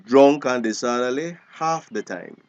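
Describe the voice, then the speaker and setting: A man's voice: medium pitch, measured pace, no room sound, muffled recording, natural voice. An actor playing a weary, bitter old grandfather in a screen drama, with a Belizean accent. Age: older.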